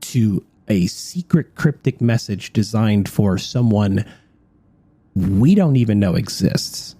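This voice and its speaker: Mellow-voiced man co-hosting radio. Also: fairly low pitch, animated, slow, husky, no room sound. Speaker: radio show co-host. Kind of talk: explanatory talk-show conversation.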